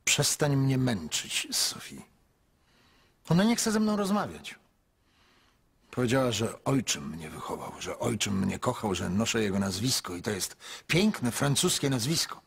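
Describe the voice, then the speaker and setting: A male actor playing a grown son in a radio drama, speaking in a measured, heavy, quietly pleading way. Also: mid-range voice, big pitch swings, vocal fry, slightly rough, heavily acted. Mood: weary, pleading. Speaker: son narrating his story.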